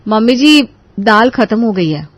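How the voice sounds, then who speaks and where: A woman's voice: a little high, sing-song, measured pace, dry recording. A woman voicing a dutiful, shrewd young daughter-in-law in a family story. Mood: eager, obliging.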